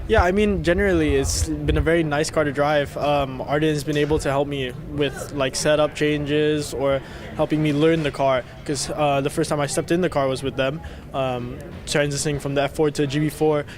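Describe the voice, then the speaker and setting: Young man from Singapore, rookie driver interview, slightly high voice, hesitant, clear crowd noise. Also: steady tone, normal speed. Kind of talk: casual interview answers. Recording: clear crowd chatter behind, outdoors.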